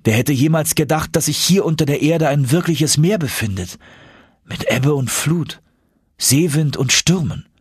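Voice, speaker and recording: A man voicing an excitable, doubting young male adventurer for an audiobook, questioning in astonishment, a little husky. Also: on the high side, measured pace, no room sound, studio quality, pitched above the performer's own voice. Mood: amazed.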